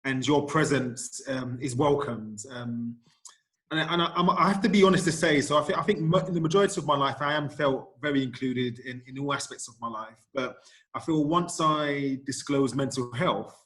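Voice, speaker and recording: A man's voice: mid-range voice, steady tone, normal speed, a bit bright. A man working as a lived experience consultant, speaking hesitantly with many fillers and restarts on a video-call panel. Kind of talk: panel discussion.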